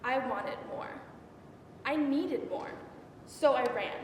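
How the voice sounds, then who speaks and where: Woman performing an anguished biblical concubine, high voice, halting intense stage monologue, heard from far off. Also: a little mellow. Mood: yearning, pained. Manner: halting, repetitive, emotionally intense delivery. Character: anguished young unnamed biblical concubine recounting her ordeal. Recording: echoey hall from far off, faint noise.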